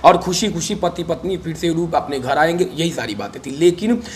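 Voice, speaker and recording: A man with a slightly high voice answers questions in an interview. He speaks emphatically and repeats phrases to make his point, with faint ambient noise behind him. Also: expressive, normal speed. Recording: noisy recording with background ambience.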